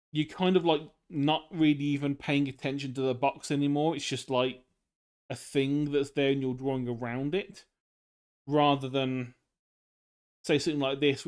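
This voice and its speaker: A young man casually explaining drawing on a live stream, in rambling, loose sentences full of fillers. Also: mid-range voice.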